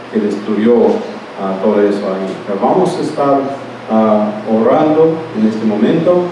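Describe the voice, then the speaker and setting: Halting preacher in an echoey church. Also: male voice, mid-range voice. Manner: halting, self-correcting, speaks Spanish as a second language. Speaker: pastor. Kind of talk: sermon with scripture reading and prayer. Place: church during a morning service. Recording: echoey room, mic far off, steady background noise.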